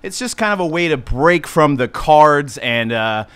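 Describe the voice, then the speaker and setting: A man's voice: on the high side, expressive, measured pace, a bit bright, clean tone. A man hosting a casual live talk show, upbeat and loose, laughing often. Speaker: host.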